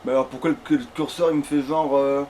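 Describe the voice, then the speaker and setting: A man's voice: medium pitch, little pitch movement, fast talker, darkish tone. A man giving casual, grumbling commentary as he plays a video game, swearing freely. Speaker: gamer playing in a let's play. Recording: noisy home game recording.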